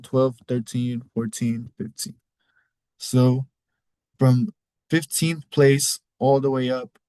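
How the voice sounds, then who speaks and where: A man's voice: medium pitch, a little mellow, dry recording, poor audio. Casual Mexican-accented man rambling. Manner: rambling, loose, cusses casually. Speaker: league podcast host. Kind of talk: casual solo recap talk.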